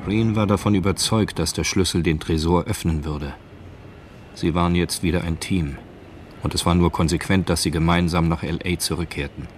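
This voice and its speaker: A man's voice: low-pitched, fairly even intonation, moderate pace, noisy background. A man narrating a radio drama in a scripted, literary storytelling style. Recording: music behind the voice. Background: music.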